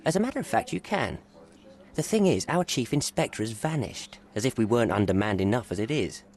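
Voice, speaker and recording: An actor playing a talkative, wry police officer stuck on desk duty in a video game. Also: male voice, medium pitch, expressive, fairly quick, darkish tone, natural voice.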